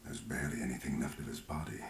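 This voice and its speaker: Very deep, grave healer. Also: male voice, fairly even intonation, fast talker, reverberant, slight background noise. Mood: grave, weary. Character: grave, weary male fantasy mage healer. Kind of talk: video game voice acting.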